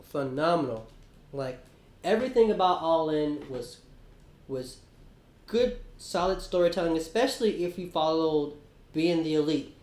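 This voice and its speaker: A man co-hosting a casual podcast, talking in an excitable, animated way and swearing casually. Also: fairly high pitch, measured pace, reverberant. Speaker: podcast co-host.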